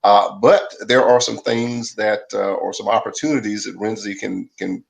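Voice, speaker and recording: A man's voice: on the low side, level tone, moderate pace, vocal fry. A man co-hosting a live show, speaking enthusiastically and rambling with many uhs. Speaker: co-host.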